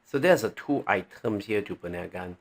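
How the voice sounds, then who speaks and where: A man with a Malaysian accent giving an informal tutorial, thinking aloud haltingly and often checking in with the listener. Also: lowish voice, expressive. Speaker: tax training presenter. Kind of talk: informal tutorial walking through on-screen examples.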